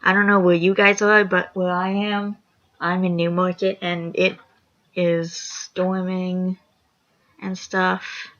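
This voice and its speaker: A young woman with a raspy voice, reading a horror fan story aloud, rambling and apologetic with chatty asides. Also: on the low side, level tone, slow, fairly bright tone. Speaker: story reader. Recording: lo-fi dull recording.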